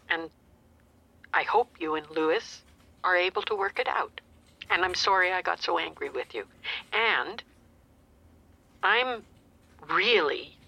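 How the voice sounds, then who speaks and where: A woman's voice: on the low side, gravelly, breathy edge, full character voice. An actress playing an anxious, earnest older mother on a customer phone call, talking naturally and a little flustered in an audio drama. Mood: sincere, grateful. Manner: earnest, slightly flustered, expressive.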